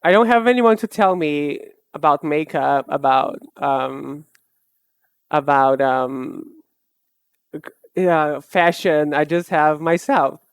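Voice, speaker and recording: A young woman streamer chatting casually while she draws, rambling and self-mocking in a soft, low-key way. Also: slow, clear, resonant tone, no echo. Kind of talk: casual chat while drawing live.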